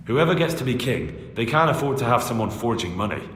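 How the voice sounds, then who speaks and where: An actor playing a young, firm and blunt medieval agent of a lord in a video game cutscene, speaking plainly and assertively. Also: male voice, medium pitch, big pitch swings, brisk, a bit bright. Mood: firm, persuasive. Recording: echoey hall reverb, noisy background.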